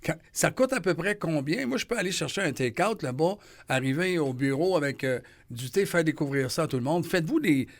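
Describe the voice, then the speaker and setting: A man's voice: a little high, animated, fairly quick, few pauses. A man with a Quebec French accent, hosting a morning radio interview in a chatty, enthusiastic, rambling way. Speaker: radio host.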